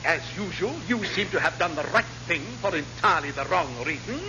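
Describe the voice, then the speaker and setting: A man's voice: high voice, expressive, a little fast. An English man playing an exasperated, pompous navy captain, blustering and easily flustered, in a classic radio sitcom. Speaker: actor in radio comedy. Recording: muffled, noisy old radio recording.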